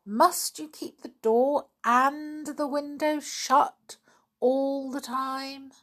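A woman reads the part of a brisk mother in a children's storybook. She sounds firm and chiding, an exasperated parent keeping her child in order. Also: medium pitch, lively intonation. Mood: exasperated, fond. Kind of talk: children's storybook read aloud.

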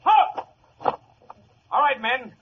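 Barking drill officer, high shouted orders, far from mic, muffled radio comedy. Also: male voice, big pitch swings, extremely slow, heavily acted. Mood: commanding. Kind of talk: 1940s radio comedy acting. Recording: distant, muffled, noisy old radio.

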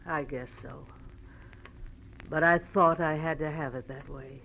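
A woman's voice: deep voice, steady tone, extremely slow, a bit bright, full character voice. A woman playing a cornered, proud, bitterly self-mocking society hostess in theatrical 1940s radio drama style. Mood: resigned, bitter. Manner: theatrical, emotional delivery. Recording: noisy vintage radio recording.

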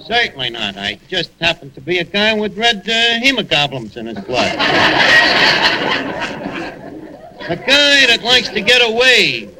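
An actor playing a wisecracking tavern manager with big pretensions, slangy and ungrammatical, with a New York accent, in 1940s radio comedy style. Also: male voice, sits high, very animated, moderate pace. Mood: pompous, mock-poetic. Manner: slangy ungrammatical wise guy. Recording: old radio recording, faint noise.

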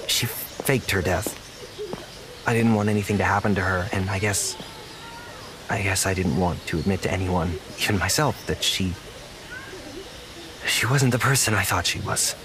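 An actor playing a naive, apologetic young zookeeper in an audio drama, speaking earnestly with halting stammers. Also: male voice, low-pitched, background noise, lightly acted. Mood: regretful. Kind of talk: naturalistic audio drama acting.

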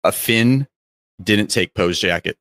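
Lowish-pitched man in casual banter. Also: takes it slowly, a bit bright, dry recording. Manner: informal, joking, sweary. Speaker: podcast co-host.

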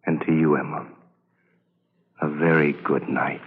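A man playing an earnest, gentle young army lieutenant in old-time radio drama. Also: a bass voice, little pitch movement, measured pace, narrow, tinny audio, heavy background noise. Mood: tender. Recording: band-limited vintage radio recording.

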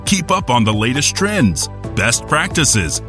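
Male promo announcer, punchy and lively, music bed underneath. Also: medium pitch, measured pace. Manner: punchy, salesy. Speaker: show announcer. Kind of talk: promotional intro voice-over.

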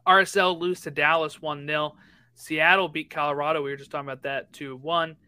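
A man co-hosting a casual sports podcast, rattling quickly through a list of match results. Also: a little high, fairly even intonation, bright, forceful tone, clear, resonant tone, no echo. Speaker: podcast co-host.

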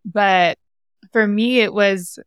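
Young woman host, upbeat chatty talk, smooth clear voice. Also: mid-range voice, slow, dry recording, studio quality. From United States, San Diego. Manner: chatty and enthusiastic, lots of "like" and "right?". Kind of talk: casual interview chat.

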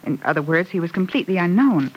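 An actress playing a frightened, guilt-stricken wife in a 1940s radio soap opera, speaking in an earnest, dramatic way. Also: female voice, fairly low pitch, expressive, fast, husky, muffled recording. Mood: anxious, explaining. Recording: old radio broadcast recording.

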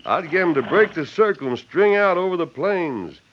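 An actor playing a frontier hero who poses as a rough drifter, in old western radio drama style. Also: male voice, high voice, animated, normal speed. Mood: guarded drawl. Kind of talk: 1940s-50s western radio acting. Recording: dull, noisy old radio recording.

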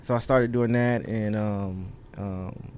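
A man's voice: fairly low pitch, fairly even intonation, slow pace, darkish tone, slight background noise. A young man from California, a guest on a podcast, telling his story in an earnest, rambling way full of ums and you knows. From California, USA. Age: young adult. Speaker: rapper, guest. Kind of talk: casual interview chat.

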